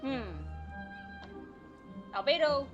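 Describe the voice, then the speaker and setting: A young woman streams a video game with a fairly high voice. She reacts aloud in an informal, chatty way, often muttering to herself. Game music is clearly audible behind her. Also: very slow, dull audio. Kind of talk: casual live game commentary.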